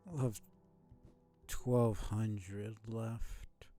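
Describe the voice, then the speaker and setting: A man streaming a video game, giving casual, low-key commentary as he thinks aloud in short fragments. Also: steady tone, very slow, dark tone, soft background music. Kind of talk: casual muttered gameplay commentary.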